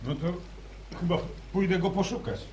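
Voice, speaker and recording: An actor playing a calm, sensible farm son explaining things in a radio play. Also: male voice, high voice, moderate pace, lightly acted. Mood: matter-of-fact. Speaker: radio drama character. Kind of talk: 1950s radio play acting. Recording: faintly noisy old radio recording.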